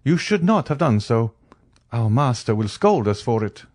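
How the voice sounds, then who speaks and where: A man's voice: mid-range voice, big pitch swings, moderate pace, a little mellow, dry recording, studio quality. A man voicing a dutiful, deferential seafaring man, respectful and formal, anxious to please, in a classic novel audiobook. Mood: mildly reproving.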